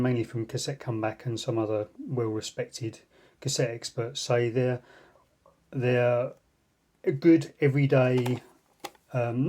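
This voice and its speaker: Man, mid-pitched voice, somewhat rough-edged, casual hands-on commentary, dry self-deprecating asides, unboxing host. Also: warm tone. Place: indoors at a tabletop. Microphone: phone filming the tabletop.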